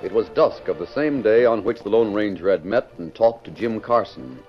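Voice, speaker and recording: A man's voice: fairly low pitch, lively intonation, moderate pace, faint music behind, lightly acted. A man with an American accent narrating an old-time radio serial as its announcer, in a formal, dramatic storytelling manner. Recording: muffled vintage radio recording.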